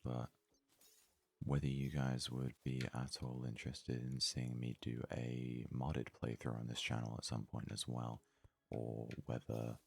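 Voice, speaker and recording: A man giving casual ASMR let's play commentary in a very soft, hushed, breathy voice, murmuring his thoughts aloud without hurry. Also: extremely low pitch. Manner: unhurried, murmured thinking aloud. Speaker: gaming video host.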